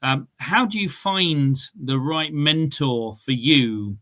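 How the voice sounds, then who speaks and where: A man's voice: on the high side, slow, sharp tone. A man in the audience asking a question, thanking the speaker first and hesitating with restarts and "um". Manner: hesitant, appreciative, restarts and "um" fillers. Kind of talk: casual Q&A question.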